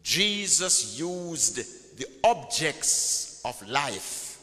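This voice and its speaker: A man's voice: fairly high pitch, big pitch swings, extremely slow, pushed and bright. A man preaching a sermon in a church, emphatic and call-and-response, drawing out his key words. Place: large church hall. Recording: long church hall reverb.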